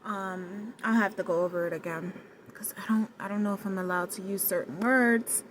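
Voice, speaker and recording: A woman with a low voice talking straight to the camera in a personal vlog, hesitant and searching for words, with many pauses and restarts. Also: fairly even intonation, a little hiss or hum, rough recording. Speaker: vlogger. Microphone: no external mic, camera mic.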